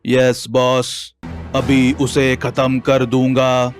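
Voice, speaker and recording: A man playing an obedient, powerful humanoid robot bodyguard in an animated story, with a slow, dutiful delivery. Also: mid-range voice, soft background music, pitched below the performer's own voice.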